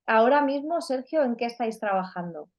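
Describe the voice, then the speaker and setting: A woman's voice: a little high, animated, brisk, darkish tone. A woman hosting an interview podcast, chatting casually and affirming her guest thoughtfully. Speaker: podcast host.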